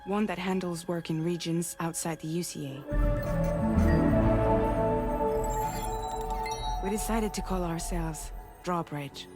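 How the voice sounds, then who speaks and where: An actress playing a composed, earnest woman who leads a courier group in a video game, speaking gravely and deliberately with pauses. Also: fairly low pitch, lightly acted. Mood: earnest, persuasive. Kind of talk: cinematic video game cutscene dialogue.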